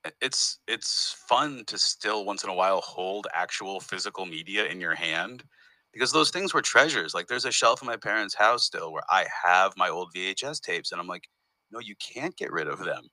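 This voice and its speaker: Brief: low, bright-toned male comedian, meandering and chatty, dry close sound. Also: animated, moderate pace, no room sound, low-quality recording. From United States. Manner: rambling, self-interrupting storyteller. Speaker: comedian guest. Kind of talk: casual interview conversation.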